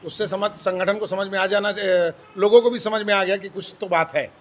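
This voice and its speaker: A man's voice: sits high, fairly even intonation, moderate pace, a little hiss or hum. A man giving a firm, formal and emphatic statement as an organization's leader to an interviewer. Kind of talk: spoken statement to a news interviewer.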